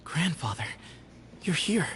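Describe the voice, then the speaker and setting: An actor playing an earnest, self-assured young heir of a desert temple in a video game, composed and declamatory. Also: male voice, on the high side, big pitch swings, slow pace, noisy background. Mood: confident. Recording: prominent music behind the voice.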